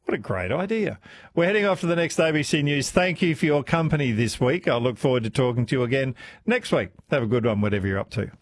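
A man's voice: medium pitch, lively intonation, quick pace, no room sound. An Australian man hosting a radio show, bantering in a friendly, teasing way with his guest. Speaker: radio host. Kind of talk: casual radio chat.

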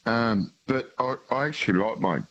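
A man with a broad Tasmanian Australian accent, calling in to a radio show, speaking haltingly with many ums and restarts. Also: mid-range voice, fairly bright tone, breathy edge, dull audio. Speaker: caller. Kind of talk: phone-in chat.

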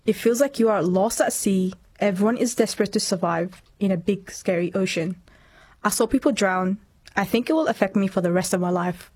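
A woman's voice: medium pitch, darkish tone, slightly rough. Earnest young woman, from Myanmar. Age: 20s. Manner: earnest, measured, reflective. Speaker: interview guest. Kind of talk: personal testimony in interview.

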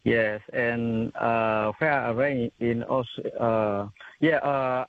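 A young man from Thailand, a community interpreter, speaking halting non-native English with many fillers and restarts. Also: mid-range voice, fairly even intonation, slight background noise. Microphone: telephone. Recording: tinny phone line.

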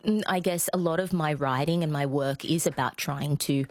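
A woman with an Australian accent answering radio interview questions in a reflective, measured way with thoughtful pauses. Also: deep voice, no room sound. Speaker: interview guest, playwright and actor. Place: radio studio.

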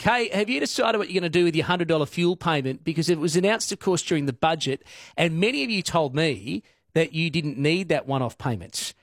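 A breezy man hosts drive-time talk radio from a studio mic. His voice is a little high for a man and sounds close and dry. He talks in a chatty, easygoing way and jokes at his own expense. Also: dry recording. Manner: breezy, chatty, self-deprecating. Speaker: radio announcer. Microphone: studio microphone.

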